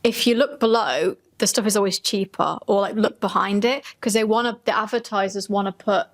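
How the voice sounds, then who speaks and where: A woman's voice: medium pitch, a little fast. A woman co-hosting a podcast, talking in a casual, conversational style. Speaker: podcast co-host. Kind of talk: casual chat.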